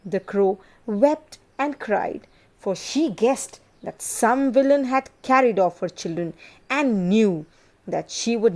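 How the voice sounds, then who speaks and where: Woman, children's storyteller, soft dark tone, slow pace, expressive bedtime narration. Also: medium pitch. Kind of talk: scripted bedtime folk tale narration for children.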